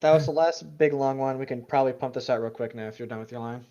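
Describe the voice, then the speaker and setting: A man with a medium-pitched voice speaks informally, as in casual chat or plain reading aloud. The recording is slightly dull and lo-fi. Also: fast, dark tone. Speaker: co-host reading fan fiction aloud.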